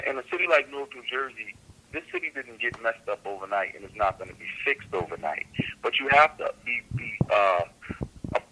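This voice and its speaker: A man's voice: mid-range voice, sharp tone, rough recording. A man, a council candidate guest on a radio show, speaking earnestly and repeating phrases for emphasis. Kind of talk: radio interview answer.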